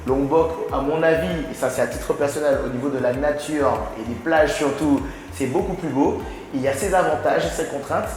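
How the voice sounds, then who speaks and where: A man's voice: a little high, a little fast, a little mellow. A man hosting an interview, asking questions casually and often affirming the guest with short replies. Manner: friendly, frequent short affirmations. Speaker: host, interviewer. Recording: echoey room with faint music.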